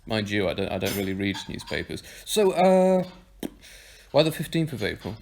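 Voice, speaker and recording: A man's voice: lowish voice, sing-song, normal speed, darkish tone. An actor playing a flippant, blunt young man in an audio drama, with a hesitant, wordy delivery. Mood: hesitant, polite. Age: young adult. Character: flippant, blunt young man, reluctant new club member. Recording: clearly noisy home recording with clicks.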